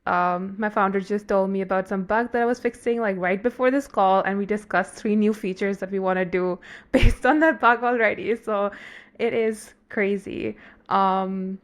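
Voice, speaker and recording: Woman hosting a chatty podcast, medium pitch, upbeat, lots of "like" as she asks stuff. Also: steady tone, normal speed. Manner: upbeat, filler-heavy questions. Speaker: podcast host. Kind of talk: casual podcast interview.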